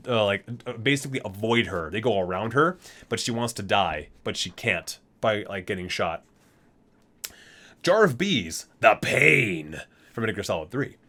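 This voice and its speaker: A man's voice: mid-range voice, fairly bright tone. A man reading game item descriptions aloud in an ASMR style, breaking off for casual, geeky asides. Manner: geeky, chatty asides between read-out entries.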